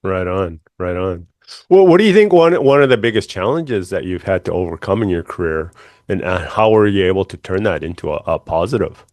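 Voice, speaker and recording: A man with a fairly low voice conducts an interview. He speaks conversationally and a little haltingly, and the sound is dry and close with little room echo. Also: lively intonation, darkish tone. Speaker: interview host.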